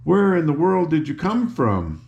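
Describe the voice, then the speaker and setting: A loving farm aunt from a storybook, voiced by an older man. The pitch is lifted well up, and the delivery is tender, affectionate and exclaiming, full of surprise. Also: sing-song, a little slow, vocal fry, darkish tone, lo-fi sound, full character voice. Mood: joyful surprise.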